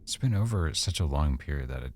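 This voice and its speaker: A man playing an affectionate, easygoing boyfriend in an intimate roleplay, speaking casually and softly with hesitant pauses. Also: extremely low pitch, animated, a little hiss or hum. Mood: fond, intimate. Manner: soft-spoken, casual, hesitant pauses and trailing thoughts. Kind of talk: boyfriend roleplay ASMR, one-sided intimate conversation.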